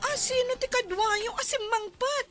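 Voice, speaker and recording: An actress playing an earnest, pleading young student daughter in a radio drama, respectful but plaintive. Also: female voice, extremely high pitch, moderate pace, music in the background. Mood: pleading, concerned.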